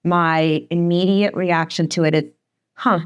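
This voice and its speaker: A woman in her forties, a divorce attorney telling her story as a podcast guest, talking fast and chatty with frequent laughs and a slight Northeastern American accent. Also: fairly low pitch, steady tone, smooth, lo-fi sound. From Sweden. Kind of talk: candid podcast conversation.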